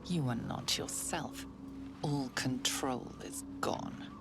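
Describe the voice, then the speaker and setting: Game casting: ominous female narrator, hushed and deliberate, gravelly very low register, slow scripted delivery. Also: full character voice. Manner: hushed, breathy, deliberate storytelling. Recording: music behind the voice.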